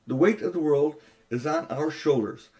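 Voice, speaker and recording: A man's voice: a little high, normal speed, dark tone, natural voice. A man reading aloud, voicing a haughty, cruel queen in an audiobook with an imperious, cold, disdainful manner.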